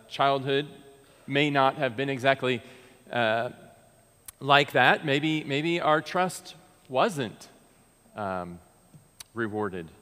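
A man's voice: medium pitch, fairly bright tone. An American man in his thirties, preaching from a pulpit in a large church sanctuary in an earnest, conversational way with frequent restarts. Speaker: pastor. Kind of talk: sermon. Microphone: pulpit mic, far camera. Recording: long church reverb.

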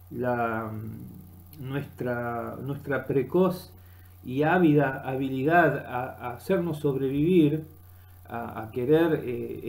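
A middle-aged man with an Argentine accent giving an unscripted, halting monologue that rambles as he searches for words, recorded on a webcam in a room. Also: mid-range voice, gravelly, warm tone. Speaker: vlogger. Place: small room indoors. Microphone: webcam at desk distance.

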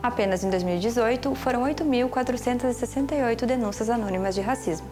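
Young Brazilian female presenter, scripted documentary read, slightly high voice, clear music bed. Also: quick pace.

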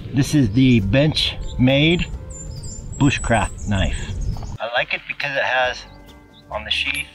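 A middle-aged man casually reviewing his camping tools to camera, relaxed and jokey, recorded outdoors in open scrubland. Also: mid-range voice, takes it slowly, soft background music. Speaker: outdoor gear vlogger.

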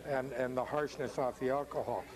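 A man's voice: mid-range voice, fairly even intonation, moderate pace, crowd noise. A middle-aged man hosting a cooking demonstration as a chef, chatting casually in a jokey way. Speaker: cooking show host, chef. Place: restaurant bar room, indoors. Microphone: lapel.

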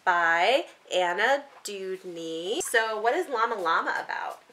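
A woman's voice: mid-range voice, lively intonation, unhurried, bright, forceful tone, a little hiss or hum. A woman in her thirties chatting casually and encouragingly, asking coaxing questions as if to a young child and echoing back answers. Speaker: book vlogger and mother interviewing her young child. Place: small room with bookshelves, indoors.

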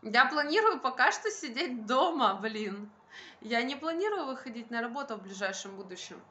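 Bright, higher-pitched young woman venting casually. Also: sing-song, moderate pace, muffled recording. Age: young adult. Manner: emphatic, colloquial venting. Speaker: vlogger, stay-at-home mother. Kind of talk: casual chat to camera. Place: bright room at home by a window, indoors. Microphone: phone at arm's length.

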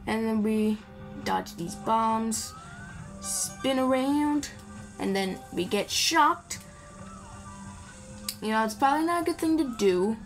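A woman's voice: on the high side, lively intonation, slow, pauses often, low-quality recording. A woman giving casual gameplay commentary in a rambling, playful way, chatting to the game and its characters. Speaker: gaming video creator. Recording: game music behind the voice. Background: video game music.

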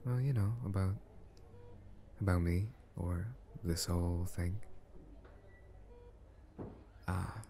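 A man playing a kind, reserved young brother in an ASMR roleplay, speaking in a hesitant, gently polite way. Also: low voice, measured pace, vocal fry. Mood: polite, guarded. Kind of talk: ASMR roleplay acting, conversational. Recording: noisy ambience behind the voice.

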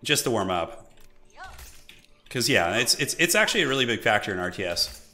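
A man live-streaming a strategy game, chatting casually and enthusiastically with viewers in a slightly raspy voice from an irritated throat. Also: medium pitch, very animated, moderate pace, sharp tone, soft background music. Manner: enthusiastic, informal, thinking aloud. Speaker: livestreamer, esports website organizer.

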